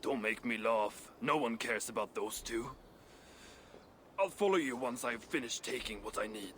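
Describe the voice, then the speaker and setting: A man's voice: medium pitch, normal speed, background noise, natural voice. A man voicing a proud, curious dwarf smith in a video game, emphatic and self-assured. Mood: boastful. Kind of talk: video game character acting.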